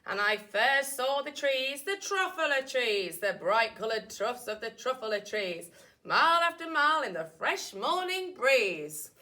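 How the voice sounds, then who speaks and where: A woman voicing a wistful, excitable old recluse telling his tale from a children's storybook, with dramatic drawn-out emphasis. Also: sits high, exaggerated voice. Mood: wistful, dreamy. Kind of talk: children's storybook read aloud.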